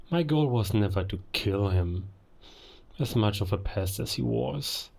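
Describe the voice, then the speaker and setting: An actor playing a proud, menacing werewolf villain in a cinematic audio roleplay, speaking deliberately as a gloating king of beasts. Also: fairly low pitch, darkish tone, heavily acted. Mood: grandiose menace. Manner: slow, deliberate, menacing, grandiose.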